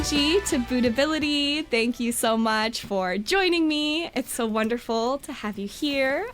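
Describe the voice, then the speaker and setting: A woman hosting a podcast, talking in a chatty, friendly interview manner. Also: mid-range voice. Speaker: podcast host. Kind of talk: casual interview.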